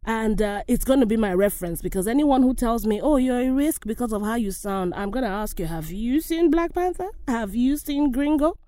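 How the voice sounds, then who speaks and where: A woman's voice: fairly high pitch, animated, fairly quick, few pauses, mellow, no room sound. A woman speaking passionately and emphatically in a personal vlog. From Africa. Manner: passionate, emphatic, rising exclamations. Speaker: actress.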